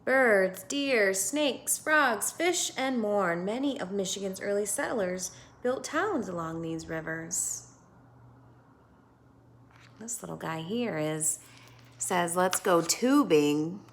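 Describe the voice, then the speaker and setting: An American woman teacher reading a picture book aloud to children, expressive and instructive, pausing to put questions to her young listeners. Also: medium pitch, a little hiss or hum.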